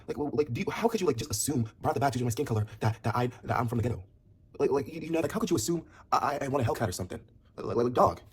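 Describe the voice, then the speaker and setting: A young man with a husky, lowish voice talks straight to his audience in a loose, rambling vlog. He rattles along quickly, stumbling over restarts and fillers. His delivery gets heated and emphatic as he presses his point. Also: a little mellow. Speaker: vlogger. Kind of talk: casual talking-to-camera commentary.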